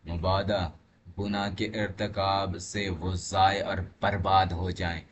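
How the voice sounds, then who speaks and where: Slow, low-voiced man teaching over noise. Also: flat delivery. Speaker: religious teacher reading and explaining narrations. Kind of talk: religious lesson, reading aloud with commentary. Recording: clearly noisy recording with background ambience.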